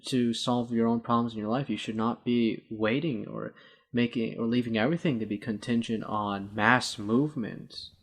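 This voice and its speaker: A man co-hosting a talk show, debating casually in a halting, wordy way with formal turns of phrase. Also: mid-range voice, fairly even intonation.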